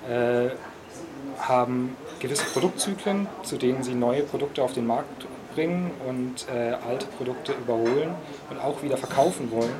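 A man of middle pitch explains things patiently, pausing often with fillers. A busy crowd murmurs behind him. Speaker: repair café volunteer. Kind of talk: interview answers.

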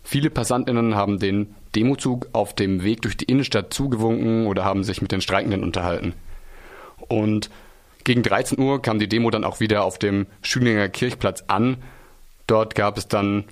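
A man's voice: fairly low pitch, moderate pace. A man working as a radio reporter, narrating a local event in a conversational way.

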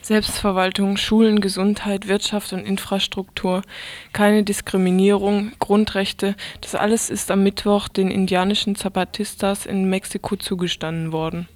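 A woman's voice: medium pitch, flat delivery, moderate pace, darkish tone. A woman reading scripted radio news.

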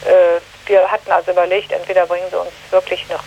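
A woman giving a spontaneous eyewitness account, earnest and halting as she searches for her words. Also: on the low side, level tone, gravelly, a little mellow, highs cut off, a little hiss or hum. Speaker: human rights delegation member giving eyewitness account.

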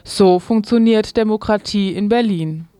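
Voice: mid-range voice, a little slow, dark tone, clear, resonant tone, no echo. A radio newsreader delivering a scripted news report.

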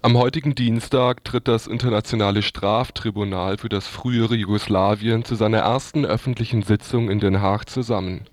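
A man's voice: on the low side, steady tone, normal speed, few pauses, no room sound. Dry-sounding male radio news reader. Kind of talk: scripted news report.

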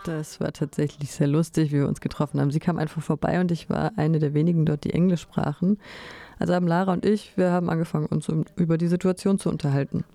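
A woman delivering a translated voice-over in measured, fluent and orderly sentences. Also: sits low, steady tone, mellow. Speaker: voice-over interpreter.

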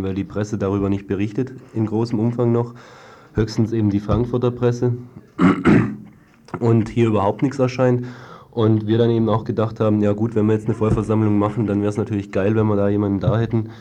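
Mellow, even-toned young man being interviewed. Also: on the low side. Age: young adult. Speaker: student activist guest. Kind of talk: informal interview answers.

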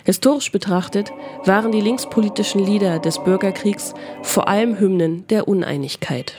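A woman's voice: medium pitch, moderate pace, music in the background. Woman narrating a scripted radio feature.

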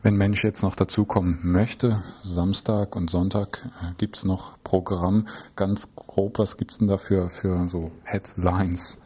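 Low, husky male radio interviewer. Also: flat delivery, a little slow, few pauses, warm tone. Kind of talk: informal live studio interview. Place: radio studio.

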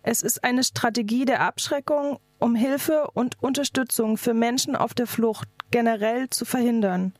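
A woman's voice: on the high side, moderate pace, hardly any pauses, dry recording. A woman activist reading out a scripted political speech. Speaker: activist speaker.

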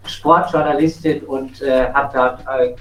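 A man adding an unscripted explanatory remark, hesitant and pausing often. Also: mid-range voice, fairly even intonation, a bit bright. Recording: echoey room, distant mic, background noise.

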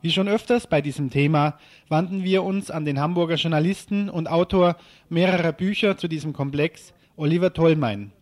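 A man presents radio news in a somewhat high voice. He reads a prepared commentary in the manner of a studio news host. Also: moderate pace.

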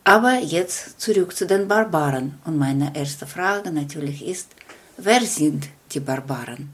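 A woman hosting a radio interview, presenting in a measured, formal way from prepared notes. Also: low-pitched, lively intonation. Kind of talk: semi-scripted radio presenting.

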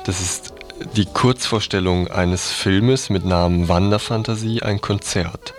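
Deep-voiced male radio presenter. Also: fairly even intonation, measured pace, music in the background. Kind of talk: scripted radio announcements.

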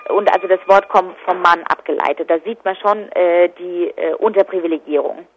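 A woman's voice: lowish voice, steady tone, moderate pace. A woman giving spontaneous interview answers as an activist. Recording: dull, lo-fi telephone line.

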